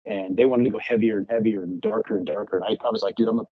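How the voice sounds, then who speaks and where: Low raspy guy telling stories on a podcast, cusses a lot, kinda muffled dry sound. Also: racing, no echo. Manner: profane, animated re-enacting of past arguments. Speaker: podcast guest, punk rock guitarist. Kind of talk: casual storytelling chat.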